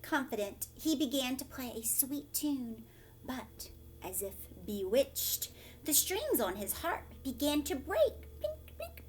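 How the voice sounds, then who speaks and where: A woman teacher reading a storybook aloud to young children, dramatic and expressive, with hushed questioning asides. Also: high voice, slight background noise.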